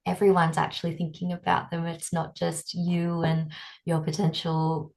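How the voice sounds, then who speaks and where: A woman's voice: lowish voice, completely flat delivery, moderate pace, creaky, darkish tone. A woman hosting a webinar, chatting in an engaged, curious way and asking her guest questions over a video call. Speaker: webinar host. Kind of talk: casual webinar conversation.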